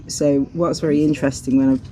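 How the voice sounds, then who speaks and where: A woman's voice: deep voice, fairly even intonation. Articulate woman, crowd behind. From north of England. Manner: articulate, measured, reflective. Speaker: human rights investigator and academic. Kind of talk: casual street conversation.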